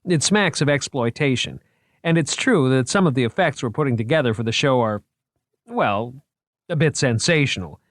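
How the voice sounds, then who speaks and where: A man's voice: medium pitch, lively intonation, normal speed, dry recording, studio quality, voice pushed up, natural voice. A man reading an audiobook, voicing an eager and nervous young male museum curator who stammers when flustered. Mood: persuasive. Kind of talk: audiobook character voice.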